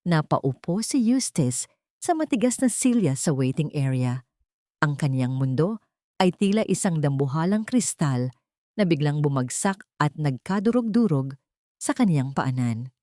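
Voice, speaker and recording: A woman's voice: low-pitched, very animated, measured pace, mellow, dry recording, studio quality. A woman narrating a scripted drama story in a solemn, melodramatic storytelling manner.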